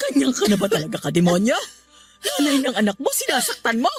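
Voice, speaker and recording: Older mother, Filipino radio drama, aggrieved, scolding, lively high pitch, forceful, soft music underneath. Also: fast talker. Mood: outraged. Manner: indignant, scolding.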